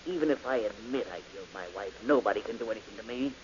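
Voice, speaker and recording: A man plays a resentful, cornered killer who grows more threatening the longer he talks. He speaks fast, and his voice sits in a middle range. The old broadcast is dull, with a light hiss. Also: on the low side, a little mellow, close to an everyday voice. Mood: menacing. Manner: defensive, rising to angry threats. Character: bitter, hunted male murder suspect. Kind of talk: 1940s radio acting.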